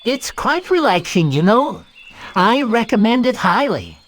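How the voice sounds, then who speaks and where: A man's voice: very high voice, very animated, measured pace, a bit bright, pitched above the performer's own voice, exaggerated voice. A man voicing a fussy, bossy, irritable rabbit in a children's storybook, prissy and emphatic. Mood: self-assured. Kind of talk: children's storybook read-aloud.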